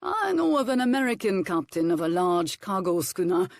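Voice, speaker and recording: A woman voicing a charming, sly viscount with a mild, smooth manner. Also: lowish voice, sing-song, normal speed, no echo, clean studio sound. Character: charming sly middle-aged French viscount.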